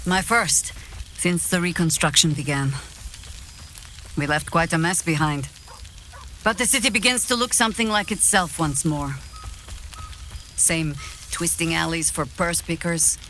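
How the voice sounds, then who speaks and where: An actress playing a middle-aged, seasoned adventurer in a video game, gruffly fond and dryly amused, with a deliberate theatrical delivery. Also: female voice, deep voice, lively intonation, sharp tone, slight background noise, heavily acted. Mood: wistful, wry. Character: seasoned wry woman adventurer with grown children, gruffly fond. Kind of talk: video game dialogue acting.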